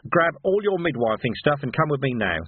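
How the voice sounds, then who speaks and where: A man voicing a weary, gruff, desperate policeman who gives clipped, urgent orders in a storybook. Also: medium pitch, big pitch swings, a little fast, no room sound. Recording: narrow phone-like band.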